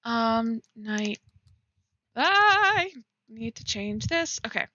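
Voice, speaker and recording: A young woman bantering casually with a friend on a livestream, giggly, excitable and teasing. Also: fairly high pitch, extremely slow, no room sound, lo-fi sound. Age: young adult. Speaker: streamer.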